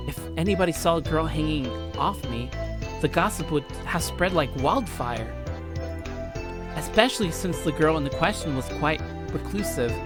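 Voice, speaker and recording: A woman with a notably low voice hosts a casual Let's Play. Her pitch moves around a lot as she reads visual novel text and comments in playful slang. Music plays clearly behind her.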